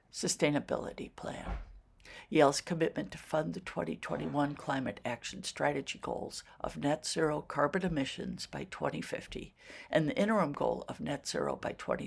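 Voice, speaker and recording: A woman trustee reading a formal, measured introduction of a guest speaker. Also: low-pitched, vocal fry, slightly rough. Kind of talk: read introduction.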